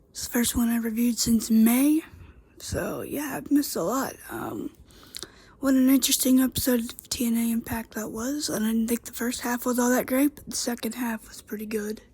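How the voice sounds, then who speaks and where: Woman, congested, very husky and breathy, a bit high, chatty rambling recap, many fillers. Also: mellow, dry recording. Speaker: YouTube wrestling reviewer. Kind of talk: casual solo show recap.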